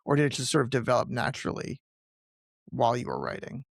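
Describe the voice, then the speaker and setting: A man hosting a conversational interview, often halting and rephrasing his questions as he goes. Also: mid-range voice, sing-song, vocal fry, slightly rough, no echo, studio quality. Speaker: podcast host and interviewer; film teacher.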